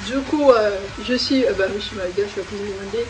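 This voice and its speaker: A woman's voice: medium pitch, fast talker, noisy background. A woman in her twenties from France chatting casually while she cooks, rambling with lots of fillers. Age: 20s. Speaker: guest cook. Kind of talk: casual cooking vlog. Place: home kitchen.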